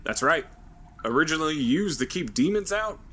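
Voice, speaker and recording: A man playing a steady veteran ranger. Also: a little high, normal speed. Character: seasoned male ranger leader, steady and direct.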